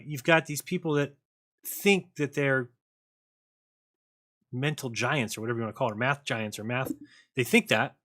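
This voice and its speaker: A man hosting a call-in show, chatting casually with callers and making sarcastic jokes. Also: mid-range voice. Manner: animated, joking, sarcastic asides. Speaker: host.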